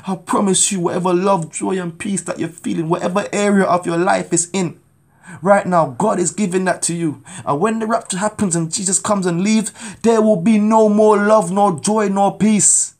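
Fervent gravelly Jamaican-born preacher. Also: male voice, high voice, fairly even intonation, moderate pace, a little mellow. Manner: fervent, urgent pleading. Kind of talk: testimony and street preaching to camera. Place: small indoor room at home. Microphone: phone camera at arm's length.

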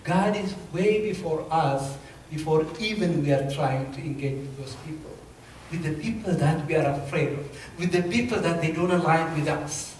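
High-pitched Ethiopian man preaching, very echoey. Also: a little mellow. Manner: emphatic, halting phrases with repetition. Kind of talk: sermon with personal storytelling. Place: large church sanctuary, indoors. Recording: echoey church hall, distant mic, faint noise.

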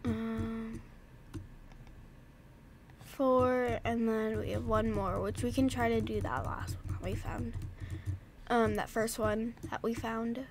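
A woman's voice: on the high side, little pitch movement, slow, pauses often, noisy background. Stuffy-nosed woman chatting through a game. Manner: chatty, talks to herself and her horse. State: stuffed-up nose. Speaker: gaming vlogger. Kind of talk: casual gameplay commentary.

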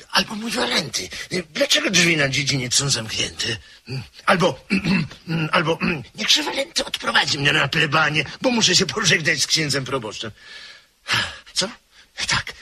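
An actor playing an anxious gentleman in a radio drama who mutters to himself and stammers. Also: fairly high pitch, exaggerated voice. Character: nervous gentleman guest, jumpy and anxious. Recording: hissy old radio tape.

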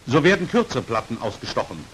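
A man's voice: medium pitch, very animated, fast talker, fairly bright tone, low-quality recording. A man narrating an old 1940s instructional film in a precise, formal manner, on an aged film soundtrack. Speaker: narrator of a military training film. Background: hiss.

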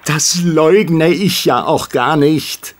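A cornered bookmaker protesting under questioning in an audio drama. He speaks slowly in a lighter, higher voice, defensive and pleading.

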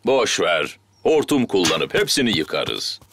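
A big daddy pig from a kids' cartoon, with a very deep voice that swings widely in pitch. He is hearty, easygoing and pleased with himself, and takes his time over his words. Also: a little slow, exaggerated voice. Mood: jolly, unbothered. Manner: hearty, relaxed, theatrical. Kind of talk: children's cartoon acting. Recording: music behind the voice.

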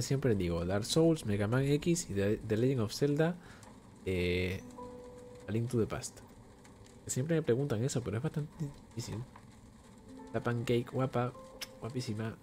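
A man's voice: mid-range voice, a little hiss or hum. A young man from Venezuela streaming gameplay from home, chatting with his viewers and answering questions from chat at a desk microphone. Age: young adult. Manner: chatty, warm greetings to viewers, reads chat aloud. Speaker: gaming streamer. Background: faint game music.

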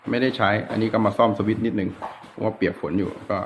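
A man's voice: on the low side, fairly even intonation, fast, slight background noise. A man giving an informal, easygoing, hands-on tutorial in a chatty, unscripted way. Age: adult with a child. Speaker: DIY guitar electronics tutorial host. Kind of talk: casual hands-on demonstration talk.

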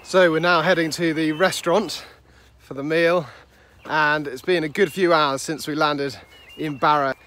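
A man's voice: on the high side, fairly even intonation, a little slow, slight background noise. A man in his thirties vlogging about sailing, talking casually into a phone held at arm's length. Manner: chatty, breathless on deck. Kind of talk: casual vlog narration. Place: lying in a boat cabin. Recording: loud music under voice.

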